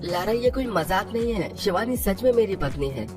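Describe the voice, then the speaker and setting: Woman voicing earnest young man, swooping pitch. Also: animated, normal speed, darkish tone, recorded from a distance, close to an everyday voice. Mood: pleading. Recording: clear music under voice.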